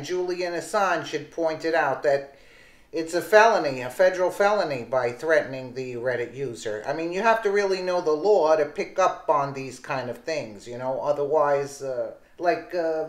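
A commentator reads a news article aloud at a middling pitch, tripping over a word now and then and correcting it. Between passages the talk turns loose and chatty. The room around the voice sounds noticeably roomy. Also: expressive, moderate pace. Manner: reads aloud with slips and restarts, then chats casually.

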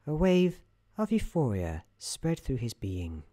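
A performer voicing a genial, theatrical narrator in a soft-spoken ASMR roleplay, speaking gently and formally with deliberate pauses. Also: medium pitch, very animated, no room sound, studio quality. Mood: soothing. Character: genial, theatrical storybook narrator guiding someone to relax.

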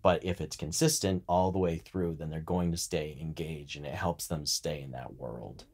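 Male podcast co-host, deep register, conversational and instructional delivery. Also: steady tone, normal speed, darkish tone, husky. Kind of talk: explanatory podcast talk.

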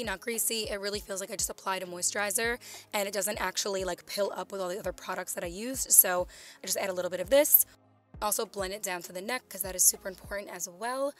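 A young woman narrates her beauty vlog in an eager, gushing voiceover. Her voice is slightly raspy, as though she is losing it a little. Her pitch sits mid-range, with soft background music underneath. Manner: gushing, enthusiastic product talk. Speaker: beauty vlogger. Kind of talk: voiceover for a skincare video. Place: home room, voice-over recorded separately.

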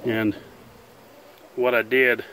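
A man talks in a plain, unhurried, folksy way as he films outdoors in a chicken pen, his voice bright and forward. A faint buzz of bees hangs in the open air behind him. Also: medium pitch, flat delivery, a little hiss or hum. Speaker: beekeeper and channel host. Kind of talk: casual narration while filming. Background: faint buzzing of bees outdoors.

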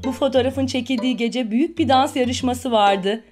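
A woman's voice: sits high, normal speed, darkish tone, faint music behind. A woman reading scripted storybook narration for a children's cartoon.

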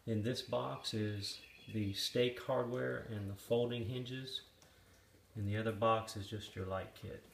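Slow, gravelly-voiced man narrating a build. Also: fairly low pitch, steady tone. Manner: plain, matter-of-fact, halting with fillers. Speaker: DIY video maker. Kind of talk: casual step-by-step narration while assembling. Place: garage or shop and outdoors by a trailer. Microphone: handheld phone camera.

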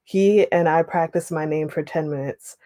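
A young woman leading an online writing workshop, reading a passage aloud and then explaining it with relaxed pauses over a video call. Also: low voice, no echo, studio quality. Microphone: webcam on a video call.

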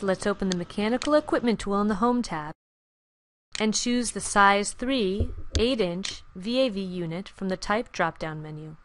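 A woman giving clear, even, scripted narration for a software screencast tutorial, recorded as a studio voice-over. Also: medium pitch, slight background noise. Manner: clear, even instructional delivery.

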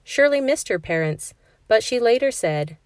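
A woman's voice: fairly high pitch, moderate pace, no room sound. Woman reading a picture book aloud. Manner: clear, steady storytelling for kids. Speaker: read-aloud narrator.

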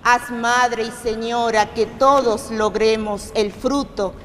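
Slow, higher-voiced woman reciting in echoing hall. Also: steady tone. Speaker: prayer leader reciting verses. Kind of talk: devotional recitation of rhymed novena verses. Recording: long reverberant hall, faint noise.

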